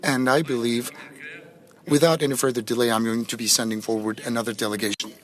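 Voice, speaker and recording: A man giving a simultaneous interpretation of a president's answers at a press conference, measured and pausing often with fillers. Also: medium pitch, level tone. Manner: measured, with frequent pauses and fillers.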